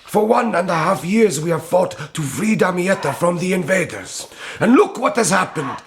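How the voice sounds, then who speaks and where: An actor playing a vengeful, hot-headed Saracen warrior in a radio drama, declaiming fiercely and impatiently. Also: male voice, sits high, expressive, moderate pace. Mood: bitter, outraged.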